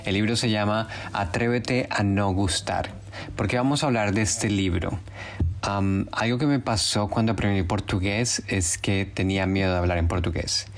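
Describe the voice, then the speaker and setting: A man hosting a podcast, speaking Spanish as his native language, talking to listeners in an earnest, encouraging and personal way. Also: lowish voice. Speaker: podcast host. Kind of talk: casual podcast monologue.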